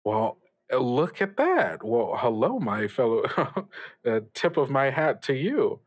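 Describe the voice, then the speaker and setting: A man's voice: medium pitch, very animated, takes it slowly. Man playing a bubbly, showy teenage radio host. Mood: friendly, bemused. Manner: rambling, stumbling, jokey hype. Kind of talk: improvised radio show banter.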